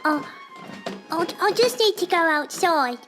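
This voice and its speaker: A woman voicing a nervous, polite, squeaky Edwardian street boy who stammers deferentially in an audio drama. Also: animated, measured pace, music in the background.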